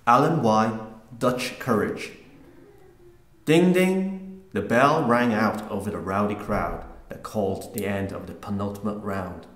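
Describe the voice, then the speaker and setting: A man narrates a written short story, reading it aloud. His voice sits on the low side, and the room gives it a clear echo. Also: a little slow, a bit bright. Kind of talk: scripted narration, live reading. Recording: echoey room.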